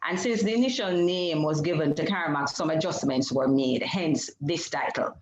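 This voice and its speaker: A Jamaican woman whose first language is Jamaican Creole, presenting her research over a video call in a measured, explanatory way, with frequent fillers and self-corrections. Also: sits low, echoey room, low-quality recording. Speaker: PhD candidate presenting research. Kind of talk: academic seminar presentation.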